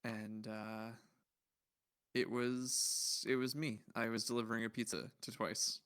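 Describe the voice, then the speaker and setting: Man, medium pitch, livestream host, casual chat, rambling, puzzled musing, thinking out loud. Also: flat delivery, measured pace, clear, resonant tone.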